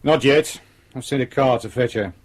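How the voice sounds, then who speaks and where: A male actor plays a senior police detective who runs the case and lets everyone know it. He is brusque and commanding, with an ordinary mid-pitched male voice and a threatening edge, in radio drama style. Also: moderate pace, lightly acted. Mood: brisk, businesslike.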